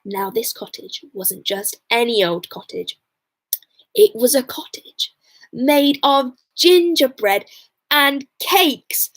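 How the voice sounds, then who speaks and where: Slow, high-voiced woman storyteller. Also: poor audio. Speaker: bedtime storyteller. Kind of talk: expressive children's story narration.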